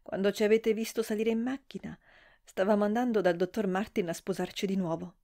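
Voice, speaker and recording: A woman's voice: mid-range voice, fairly even intonation, brisk, dark tone, natural voice. A woman reading uneasy, evasive Fanny. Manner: short, evasive replies.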